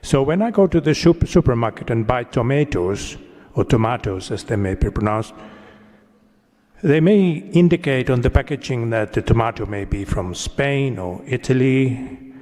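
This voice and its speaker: A man's voice: medium pitch, lively intonation, moderate pace, a little mellow. A man from Finland, politely asking a question from the audience, hesitant with ums and small jokes. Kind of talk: question from the floor. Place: large conference hall. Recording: long reverb of a large hall.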